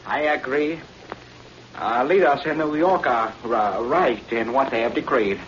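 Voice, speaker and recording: A man's voice: mid-range voice, unhurried, a bit bright, lightly acted. An actor playing a grim, defeated male henchman in an old radio drama, formal and resigned. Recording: dull, noisy vintage radio recording.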